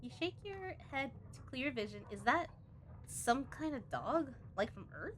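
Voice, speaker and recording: Chatty high-voiced woman streaming a game. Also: big pitch swings, unhurried, slight background noise. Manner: chatty, reactive, mumbles asides to herself. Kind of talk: casual game commentary, reading on-screen text aloud.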